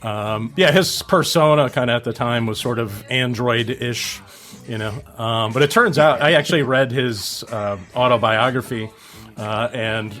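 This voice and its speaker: Halting male podcast co-host, music behind. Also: medium pitch, fairly bright tone. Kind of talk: casual podcast chat.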